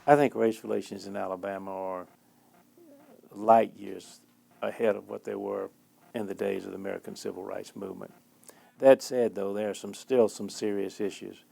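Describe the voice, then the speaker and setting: A man giving considered, deliberate commentary in a documentary interview. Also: lowish voice, level tone, gravelly, mellow.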